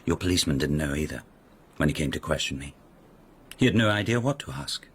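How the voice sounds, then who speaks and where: An actor playing a haughty, menacing court lord in a radio drama, speaking with deliberate, imperious condescension. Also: male voice, rumbling low, animated, breathy edge, heavily acted. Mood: scornful, probing.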